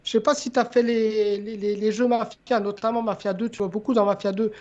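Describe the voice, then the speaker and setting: A fairly high-voiced speaker joins a casual podcast chat, offering ideas tentatively and repeating words before getting to the point. The sound is a little dull and lo-fi. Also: level tone, brisk, a little mellow.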